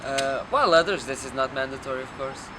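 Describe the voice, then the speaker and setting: A man rambling philosophically off the cuff, searching for words with hesitations and some swearing. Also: mid-range voice. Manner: halting, searching, profane. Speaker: documentary film subject. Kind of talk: unscripted rambling philosophical musing. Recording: noisy outdoor street with traffic.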